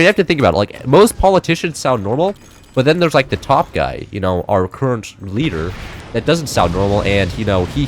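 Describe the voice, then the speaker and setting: A young man in his late teens chatting loosely while playing a game, rambling with many fillers and restarts, his voice a little high for a man. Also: a little hiss or hum. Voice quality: slightly high-pitched for a man. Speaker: gameplay streamer. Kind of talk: casual chat while playing.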